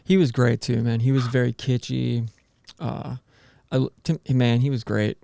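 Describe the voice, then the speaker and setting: A man's voice: mid-range voice, flat delivery, normal speed, creaky, dark tone, dry recording, studio quality. A man co-hosting a podcast, cutting in eagerly with his own stories. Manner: eager interjections, cuts in. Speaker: podcast co-host. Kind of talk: casual podcast talk.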